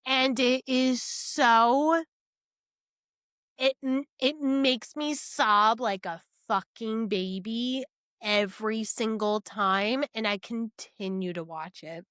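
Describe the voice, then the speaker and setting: A woman with a fairly high voice talks at a very relaxed pace. She co-hosts a casual podcast and speaks emphatically, not shy of swearing. The sound is very dry, with no room echo. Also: slow pace, clean tone. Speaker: podcast co-host. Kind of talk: casual book discussion chat.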